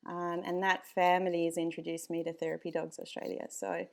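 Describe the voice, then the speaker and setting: South African woman, dog breeder guest, lowish pitch, loose chatty delivery. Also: little pitch movement, brisk, vocal fry. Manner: chatty, frequent ums, laughs easily. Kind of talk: casual podcast chat.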